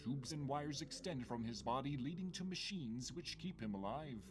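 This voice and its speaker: A man narrating a space adventure game in a deliberate, dryly humorous storytelling manner. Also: mid-range voice, noisy background, lightly acted. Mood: dramatic, wry. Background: music.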